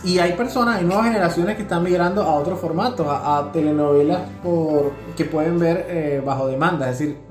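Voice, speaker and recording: A Venezuelan man co-hosts a conversational podcast in an explanatory way. He often tags his points with a quick check for agreement. The room is echoey and music plays behind him. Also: a little high. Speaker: podcast co-host. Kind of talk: casual conversational discussion. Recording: roomy echo with music behind.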